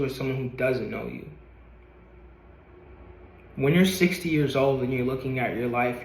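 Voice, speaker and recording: Male vlogger, late twenties, mid-pitched, earnest motivational rambling with casual cursing, echoey room sound. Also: a little hiss or hum. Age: 20s. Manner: earnest, rambling, swears casually, repeats himself. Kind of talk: motivational vlog talking to camera. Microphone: camera.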